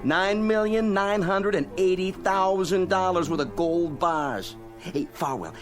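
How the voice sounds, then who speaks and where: A man's voice: sits high, a little slow, soft background music. An actor playing an eager, admiring, simple henchman in a radio drama, chatty and plainspoken.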